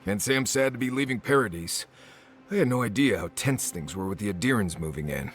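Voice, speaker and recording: A man's voice: lowish voice, expressive, fairly quick, faint music behind, natural voice. A man playing an earnest, uneasy travelling companion in a fantasy video game, frank and casual in delivery. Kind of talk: naturalistic game voice acting.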